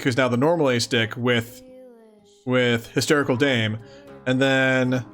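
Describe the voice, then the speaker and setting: A playful, chatty man reading a webcomic aloud and commenting casually on a livestream, often giggly and thinking out loud. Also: medium pitch. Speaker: streamer.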